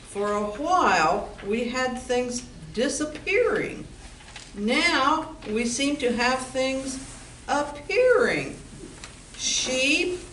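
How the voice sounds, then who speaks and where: A tough woman bar owner, played by an amateur actress in a radio play. Her voice is fairly high and very unhurried, with strong room echo. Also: a bit bright, heavily acted. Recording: roomy, distant mic, faint noise.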